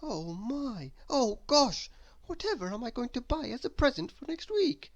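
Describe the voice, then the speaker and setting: An anxious little male storybook worrier, played by a man reading aloud. The voice is pitched high and frets in muttered exclamations. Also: very animated, measured pace, dull audio, full character voice. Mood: anxious fretting.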